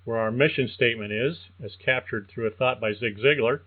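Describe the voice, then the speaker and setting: An older man hosting a career-coaching podcast, reading a prepared monologue in a measured, earnest way. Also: medium pitch, crowd noise. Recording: thin phone-like sound.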